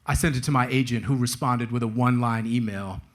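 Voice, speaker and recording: A man's voice: mid-range voice, a little fast, a little hiss or hum. A man speaking as a guest author at a live talk, telling stories in a wry, casual, slangy way. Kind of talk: conversational storytelling at a live book talk.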